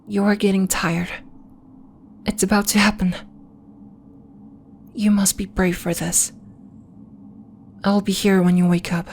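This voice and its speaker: A woman playing a serene, solemn alien moon healer in an ASMR roleplay, speaking soothingly in formal, deliberate phrases. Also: medium pitch, flat delivery, a little mellow, a little hiss or hum, natural voice. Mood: solemn, reassuring. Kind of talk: ASMR roleplay, soft scripted monologue addressed to listener.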